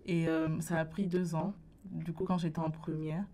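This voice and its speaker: Young woman, low and flat. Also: moderate pace. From Burkina Faso. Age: late teens. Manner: soft-spoken, searches for words. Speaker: interview guest. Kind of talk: radio interview. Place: radio studio.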